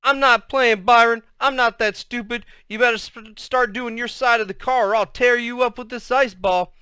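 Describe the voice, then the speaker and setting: An excitable young boy, played by a male narrator speaks up in a high, forceful voice. He is indignant and urgent, punching out his words like a kid in a storybook. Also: moderate pace, smooth, no room sound. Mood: defiant warning. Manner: urgent, emphatic exclamations.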